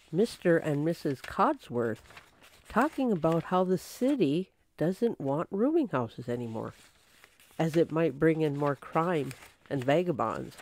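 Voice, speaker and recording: A woman's voice: deep voice, a little mellow, a little hiss or hum, full character voice. A woman playing a chatty secretary at a detective's office, in old time radio drama style.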